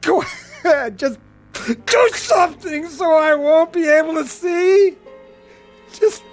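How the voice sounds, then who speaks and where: An anguished, slow-speaking army lieutenant. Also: male voice, very high voice, expressive, full character voice. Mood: breaking down, desperate. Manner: anguished, bitter, intense. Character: tormented young army lieutenant who sees death on faces. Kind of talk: dramatic radio drama acting. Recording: radio drama with music underneath.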